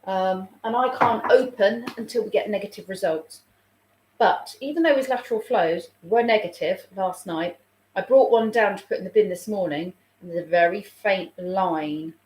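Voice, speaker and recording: A woman, a childminder chatting casually on a live stream and reading out viewer comments, a little snuffly with a sore throat coming on. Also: on the low side. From England. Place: room at home. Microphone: phone. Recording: roomy home room echo.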